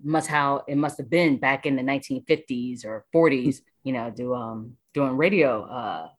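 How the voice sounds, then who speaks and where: A woman's voice: rumbling low, normal speed. An American woman chatting casually as an interview guest, enthusiastic and rambling, often repeating her phrases. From Washington DC area, United States.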